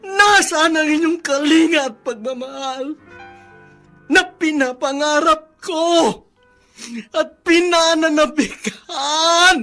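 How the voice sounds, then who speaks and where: High-pitched, proud aging colonel. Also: male voice, slow, sharp tone, soft background music, exaggerated voice. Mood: tearful, desperate. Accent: Filipino. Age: older. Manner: imperious, anguished, declamatory. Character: proud, lonely, commanding aging army colonel and father. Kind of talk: melodramatic Filipino radio drama acting.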